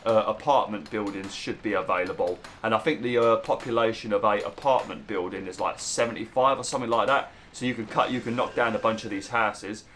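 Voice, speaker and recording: A young British man with a slightly rough, hoarse throat, chatting casually through gameplay commentary and breaking into mild exclamations. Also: lowish voice, a little fast, few pauses, a bit bright, slight background noise. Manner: chatty, swears mildly, bursts into exclamations at the game. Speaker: let's play host.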